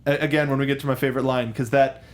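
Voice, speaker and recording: A man hosting a film discussion show, chatting casually in a halting, jokey way. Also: mid-range voice, little pitch movement. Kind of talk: casual panel chat about movies.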